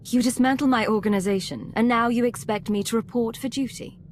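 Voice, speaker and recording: An actress playing a cool, defiant intelligence agent in a video game, with a composed, clipped, skeptical delivery. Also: female voice, a little high, fairly quick, a little hiss or hum, close to an everyday voice. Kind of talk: dramatic video game acting.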